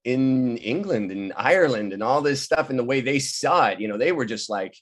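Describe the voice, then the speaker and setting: A man telling anecdotes casually on a video call, lively and quick to act out what other people said. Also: medium pitch. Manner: animated, laughing often, acting out quoted people. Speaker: playwright and director leading a virtual table read. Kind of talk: casual, anecdotal storytelling chat. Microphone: video call microphone.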